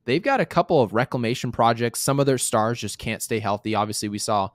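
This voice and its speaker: A man's voice: mid-range voice, animated, fast, dry recording. Guy in his 30s talking sports, blunt and emphatic, says stuff twice to drive it home, dry close mic. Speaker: sports show co-host. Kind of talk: casual sports commentary.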